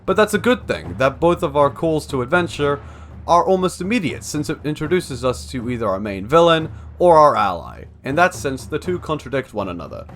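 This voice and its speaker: A man's voice: a little high, sing-song, fairly quick, fairly bright tone, a little hiss or hum. A young man reading a scripted analytical narration for a video essay.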